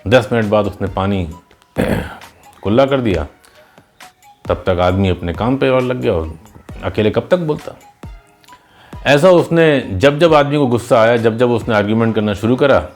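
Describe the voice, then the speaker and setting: A man's voice: fairly low pitch, normal speed, long pauses, a little mellow, soft background music. A man narrating in a conversational, explanatory teacher's style, mixing in English words as he tells a moral story and gives advice.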